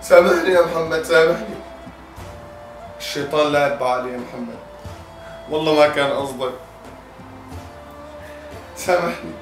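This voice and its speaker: A man's voice: on the high side, moderate pace, pauses often, natural voice. A young man playing a remorseful friend who pleads for forgiveness, recorded from a distance in a roomy space with music behind. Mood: pleading. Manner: pleading, guilt-ridden.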